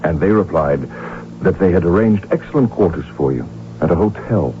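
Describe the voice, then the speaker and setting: A man's voice: sits low, animated, normal speed, slight background noise. A man playing a proud, ambitious, defiant archduke and devoted husband in a radio drama, speaking with grandiose, theatrical emphasis. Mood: indignant pride. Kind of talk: 1970s radio drama acting. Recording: muffled, humming old radio broadcast recording.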